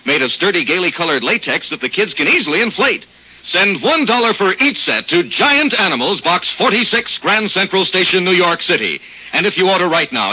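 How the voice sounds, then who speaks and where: A man reading a brisk hard-sell radio commercial. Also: high voice, bright, forceful tone, slightly rough. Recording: dull lo-fi old radio.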